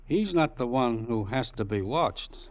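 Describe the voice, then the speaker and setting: An actor playing a shrewd, controlled crime boss in an old radio drama, speaking in terse, deliberate commands. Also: male voice, medium pitch, lively intonation, natural voice. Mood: calculating, commanding. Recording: noisy old radio recording.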